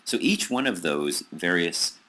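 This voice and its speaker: A man with an American accent giving a recorded psychology lecture over slides, pausing often with ums and restarts. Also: low-pitched, big pitch swings, bright, forceful tone. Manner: hesitant, many ums and restarts.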